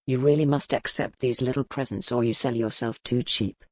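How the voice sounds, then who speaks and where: A man's voice: mid-range voice, fairly even intonation, normal speed, dry recording, clean studio sound, close to an everyday voice. A man playing an arrogant aristocratic rake in a historical romance audiobook, with a pompous, formal, insinuating manner of mocking menace. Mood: oily insinuation.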